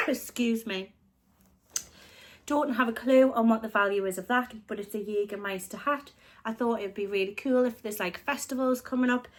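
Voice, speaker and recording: A woman's voice: fairly high pitch, normal speed, long pauses. Chatty British woman, a bit snuffly. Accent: British English. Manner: chatty, rambling, self-correcting. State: snuffly from a chest infection. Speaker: reselling vlogger. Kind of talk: casual vlog chat.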